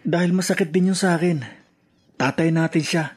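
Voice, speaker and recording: An actor playing an indignant, protective young son in a Filipino radio drama. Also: male voice, fairly high pitch, fairly even intonation, fairly quick, a little mellow, breathy edge. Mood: accusing, hurt.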